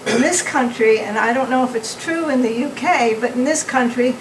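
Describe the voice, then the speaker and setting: Soft-voiced woman, audience question, raised pitch, echoey hall, distant mic. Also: moderate pace, slight background noise. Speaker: audience member asking a question.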